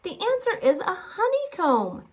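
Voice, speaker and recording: A woman voicing an exasperated, bossy male bear from a children's storybook, indignant and prone to shouting. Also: very high-pitched, huge pitch swings, a little slow, pitched above the performer's own voice, heavily acted.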